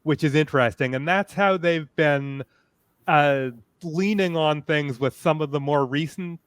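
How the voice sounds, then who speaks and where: A man speaking as a panelist in a casual discussion, analytical and detailed in a measured way. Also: on the high side, a bit bright, clear, resonant tone, dry recording, studio quality.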